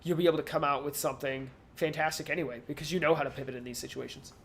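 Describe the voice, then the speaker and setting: Encouraging male co-host, slightly high voice. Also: steady tone, rapid-fire, fairly bright tone, a little hiss or hum. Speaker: podcast co-host. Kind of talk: casual chat.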